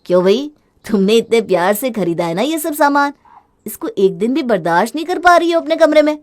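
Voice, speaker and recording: A woman's voice: on the high side, big pitch swings, fairly quick. A woman voicing a young husband in a storybook drama, fed up with his wife's spending and mocking her sarcastically. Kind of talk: storybook voice acting.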